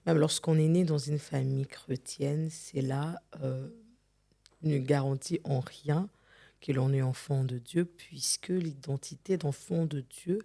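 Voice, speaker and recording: A middle-aged woman from Congo, a gospel singer, giving an earnest and measured testimony as an interview guest, sometimes repeating words as she searches for them. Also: deep voice, steady tone, gravelly, mellow. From Democratic Republic of the Congo.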